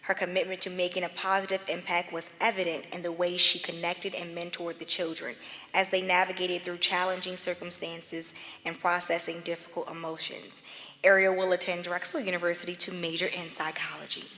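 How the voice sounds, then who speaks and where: A woman's voice: lowish voice, level tone, fairly quick, pushed and bright. A woman reading out scholarship award citations at a ceremony in a large church. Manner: apologetic over name mistakes. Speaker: awards presenter. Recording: reverberant church hall.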